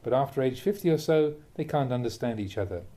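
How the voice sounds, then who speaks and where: A man, a Buddhist monk, reads a translated spiritual talk aloud in a measured, emphatic way, posing rhetorical questions. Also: medium pitch, animated, mellow. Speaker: Buddhist monk reading a teacher's talks aloud. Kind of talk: reading a translated Dharma talk aloud, with brief asides.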